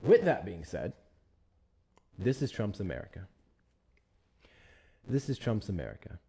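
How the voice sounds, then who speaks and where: Low-voiced guy running a live stream, talks loose and repeats stuff, hypes up viewers. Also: measured pace, gravelly, breathy edge. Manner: animated, repeats himself, thanks viewers for gifts. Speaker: livestream host. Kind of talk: casual live-stream commentary.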